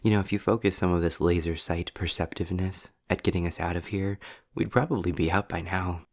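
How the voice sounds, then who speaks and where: A man playing a sardonic, world-weary demon in an intimate roleplay audio drama, deliberate and breathy, often dropping toward a whisper. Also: sits low. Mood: sarcastic, exasperated. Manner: sarcastic, deliberate, sighing.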